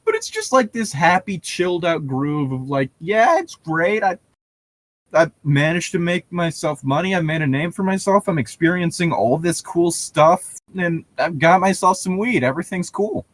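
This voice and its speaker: A man's voice: on the high side, very animated, normal speed, dry recording. A man bantering casually as a co-host, jokey and opinionated, swearing freely. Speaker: co-host reacting to a fan fiction reading.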